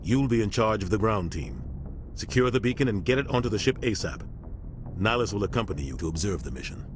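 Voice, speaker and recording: A grave, commanding middle-aged captain acted by a man with a very low voice. He briefs in measured, authoritative military fashion, as in a sci-fi video game. A clear engine rumble sits behind him. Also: expressive, natural voice. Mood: firm, briefing. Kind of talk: video game dialogue acting.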